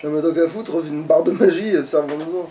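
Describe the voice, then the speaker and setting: Very quick-talking higher-voiced man gaming. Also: warm tone, slight background noise. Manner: slangy, crude, swearing. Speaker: let's play player and commentator. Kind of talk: casual gaming chat. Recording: somewhat distant mic.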